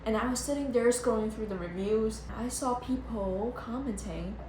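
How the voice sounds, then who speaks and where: A young woman vlogging casually as she tours a budget hotel, chatty and excitable, full of "like"s and giggles, filmed on a handheld phone. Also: fairly high pitch, moderate pace. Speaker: travel vlogger. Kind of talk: casual travel vlog. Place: budget hotel room and entrance. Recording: echoey room with loud background noise.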